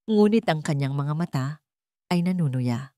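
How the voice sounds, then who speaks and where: A woman narrates a dramatic story at a steady, measured pace. Her voice sits low for a woman. The recording is close and dry, with no room echo. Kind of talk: scripted narration.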